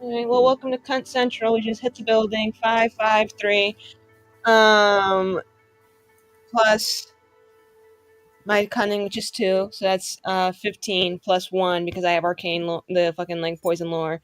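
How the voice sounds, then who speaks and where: A woman's voice: medium pitch, flat delivery, measured pace, no echo, faint music behind. Blunt, sardonic woman playing a tabletop game. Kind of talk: casual game chat.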